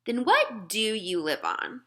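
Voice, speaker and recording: A woman's voice: mid-range voice, huge pitch swings, a little slow, a bit bright. A woman voicing a curious, polite young girl in a children's storybook, asking questions earnestly. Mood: puzzled. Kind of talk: children's storybook read-aloud.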